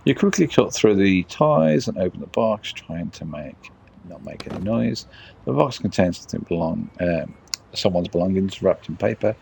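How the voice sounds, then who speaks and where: A man live-streaming a game, reading the text aloud haltingly and muttering casual asides as he plays. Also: sits low, animated, gravelly, darkish tone, a little hiss or hum. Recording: music behind the voice.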